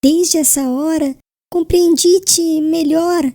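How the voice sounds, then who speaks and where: A woman playing a gentle, devout, frail young bride in a dramatised audiobook, speaking tenderly and humbly in solemn literary phrases. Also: very high voice, steady tone, takes it slowly, no room sound, studio quality, exaggerated voice. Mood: sorrowful, serene.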